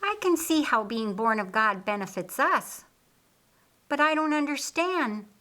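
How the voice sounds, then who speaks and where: A woman's voice: high-pitched, big pitch swings, measured pace, sharp tone, voice pushed up, full character voice. A woman voicing a thoughtful, curious man in a storybook, pondering and questioning. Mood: pensive, curious.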